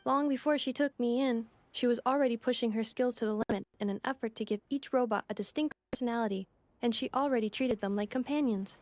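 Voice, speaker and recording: A woman voicing a polite, composed female robot assistant in a video game, speaking in a measured, formal, explanatory way. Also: a little high, a little mellow, lightly acted. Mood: earnest. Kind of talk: anime-style video game voice acting. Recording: faint music behind the voice.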